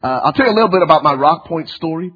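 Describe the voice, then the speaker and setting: Guest preacher, man from Liberia, fervent and repetitive, husky slightly high voice, lo-fi church audio. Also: animated, very fast. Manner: fervent preaching with repeated phrases and many fillers. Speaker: church-planting pastor, guest speaker. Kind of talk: sermon.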